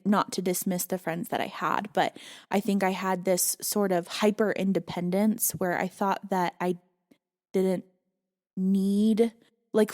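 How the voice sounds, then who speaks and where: A woman guest on a podcast, telling her life story candidly in an earnest, reflective way with soft laughs. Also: medium pitch. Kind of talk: candid personal storytelling in conversation.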